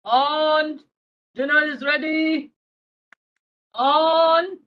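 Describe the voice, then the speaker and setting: A woman's voice: high-pitched, animated, unhurried, muffled recording. Woman playing a firm, patient storybook grandfather. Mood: calling out. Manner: firm, instructing.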